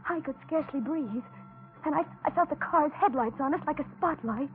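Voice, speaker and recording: An actress playing a frightened young carhop, halting and melodramatic, in a 1940s radio suspense drama. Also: female voice, high-pitched, faint music behind, lightly acted. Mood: tense. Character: frightened young carhop recounting her nightmare ordeal. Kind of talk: 1940s radio drama acting, first-person narration. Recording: tinny 1940s radio recording.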